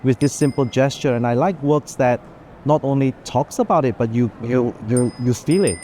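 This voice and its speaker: A man's voice: medium pitch, moderate pace, mellow, slight background noise. A man who collects art, thinking aloud about artworks on a walking tour, reflective and loose. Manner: reflective, thinking aloud, frequent "you know". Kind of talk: walking gallery conversation. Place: art fair booths.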